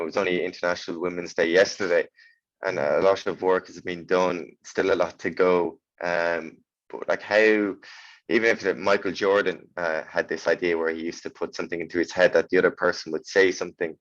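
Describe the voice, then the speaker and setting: A man's voice: sits low, little pitch movement, a little fast, fairly bright tone, dry recording, muffled recording. A young man, asking wordy, informal questions as an interviewer.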